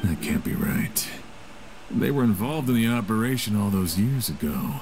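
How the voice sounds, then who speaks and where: An actor voicing a skeptical, puzzled man in a game, questioning in a deliberate, incredulous way. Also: lowish voice, lively intonation. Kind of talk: scripted game dialogue. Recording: music behind the voice.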